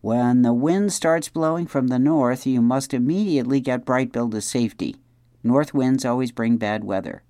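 A man's voice: mid-range voice, moderate pace, mellow, dry recording, studio quality, natural voice. A man voicing a fussy adult mother goose in an audiobook, giving earnest advice. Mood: advising. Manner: earnest, advising.

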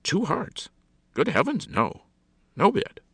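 A man's voice: sits high, huge pitch swings, takes it slowly, creaky, a bit bright, husky, dry recording, studio quality, voice pushed up, heavily acted. A man voicing an imperious, exasperated society hostess in a storybook, theatrical, impatient and gushing. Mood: scornful, dismissive.

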